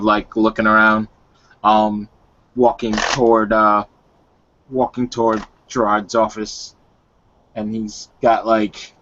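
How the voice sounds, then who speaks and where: A man casually describing his character in a tabletop roleplay, halting and searching for words. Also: medium pitch, fairly bright tone. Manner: halting, hedging with repeated likes. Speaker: roleplay player. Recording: dull online call audio.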